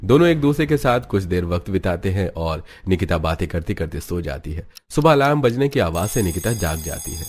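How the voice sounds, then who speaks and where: A man's voice: low voice, very animated, a little fast, hardly any pauses, darkish tone. A man giving a scripted narration for a cartoon family story.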